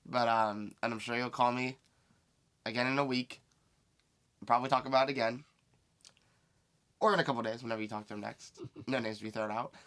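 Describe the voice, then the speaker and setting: A laid-back man with a raspy voice, co-hosting a casual sports chat. He asks his co-host questions in an easy, unhurried way. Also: medium pitch, fairly even intonation, a bit bright. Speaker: podcast co-host.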